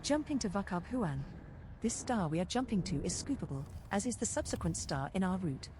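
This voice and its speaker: Woman as a cheeky game ship computer, lowish voice, dryly sarcastic, clear engine noise behind. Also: sing-song, brisk. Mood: matter-of-fact. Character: cheeky female spaceship navigation computer, helpful and sarcastic. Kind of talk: scripted game co-pilot announcements. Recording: noisy game audio.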